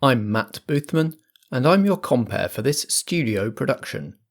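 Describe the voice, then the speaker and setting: A man hosting a role-play podcast as compere, with a measured, theatrical scripted delivery. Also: mid-range voice, expressive, creaky. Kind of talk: scripted narration and introduction.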